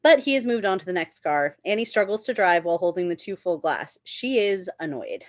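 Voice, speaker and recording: A woman's voice: fairly low pitch, expressive, fairly quick, dry recording. Woman reading stage directions, dry dull audio. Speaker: table read narrator reading stage directions. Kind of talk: scripted narration at a table read.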